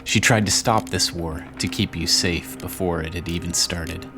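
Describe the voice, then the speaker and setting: A grave, weary father speaks his wartime journal in a low voice, acted by a man for a game dramatization. The delivery is solemn and music plays clearly underneath. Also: moderate pace, lightly acted. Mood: somber, sorrowful. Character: Christo, weary war-torn father writing a journal. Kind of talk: dramatized first-person journal monologue.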